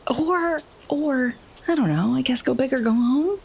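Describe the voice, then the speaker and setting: A woman's voice: high voice, unhurried, gravelly, darkish tone, slightly rough. A woman playing a tabletop role-playing game, chatting casually as she describes her character's moves.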